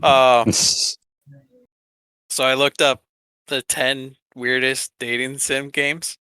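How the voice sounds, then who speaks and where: A man co-hosting a podcast in casual chat, talking haltingly with trailing asides. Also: mid-range voice, flat delivery, pushed and bright, clear, resonant tone, no room sound, poor audio. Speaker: podcast co-host.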